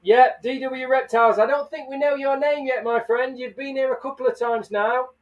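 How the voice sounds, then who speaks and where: A man's voice: very high-pitched, fairly even intonation, moderate pace, distant mic, dull audio. A man hosting a casual livestream, chatting and greeting viewers by name. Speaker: livestream host. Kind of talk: casual live chat.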